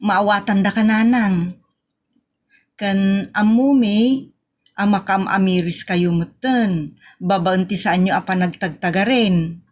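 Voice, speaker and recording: A woman's voice: medium pitch, takes it slowly, pauses often, a little mellow. A woman with a northern Philippine accent acting a daughter who earnestly reproaches and pleads with a parent in a comedy audio drama. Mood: pleading. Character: grown daughter earnestly reproaching her mother.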